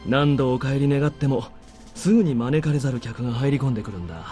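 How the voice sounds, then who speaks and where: A man performing a visual novel army superior with a dark, mellow voice and a quick delivery. He is composed, sardonic and quietly menacing, speaking over background music. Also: mid-range voice, animated, close to an everyday voice. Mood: dry, composed. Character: cool, commanding military superior officer, dryly sardonic. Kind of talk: Japanese visual novel voice acting. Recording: game music under the voice.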